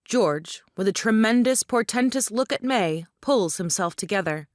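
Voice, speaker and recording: A woman's voice: medium pitch, lively intonation, normal speed, dry recording. Woman narrator, dry close recording. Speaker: narrator reading stage directions. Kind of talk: scripted narration.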